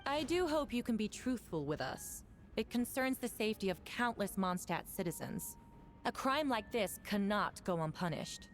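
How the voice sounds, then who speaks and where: A woman playing a formal, deliberate female prosecutor in a voice-acted video game. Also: medium pitch, sing-song, slight background noise, lightly acted. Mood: stern, accusing. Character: formal, resolute female prosecutor presenting evidence in court. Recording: game audio with faint music behind.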